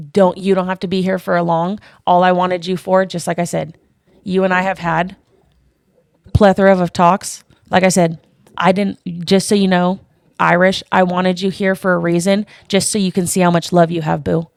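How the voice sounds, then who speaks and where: A woman hosting a live online panel, loud and forceful, swearing often and repeating herself. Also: on the low side, flat delivery, normal speed, creaky, no room sound, studio quality. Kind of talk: heated live-stream talk.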